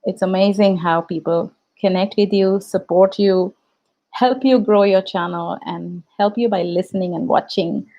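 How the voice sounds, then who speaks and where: A woman with an Indian English accent, Tamil her first language, talks casually to viewers as a YouTube host. Her mid-pitched voice has a gravelly fry at the ends of phrases. She muses aloud, full of fillers and restarts. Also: steady tone, moderate pace, mellow, lo-fi sound. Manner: reflective, chatty, frequent fillers and restarts. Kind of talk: casual live-stream chat.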